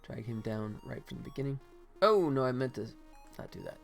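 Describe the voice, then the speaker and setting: A man's voice: medium pitch, expressive, fairly quick, creaky, soft background music. A man livestreaming as he works, thinking aloud in casual chat, sometimes muttering to himself. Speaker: livestream host, game animator.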